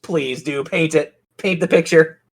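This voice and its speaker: A man's voice: on the high side, unhurried. Man running a tabletop fantasy game. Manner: colloquial, addresses players directly. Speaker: game master.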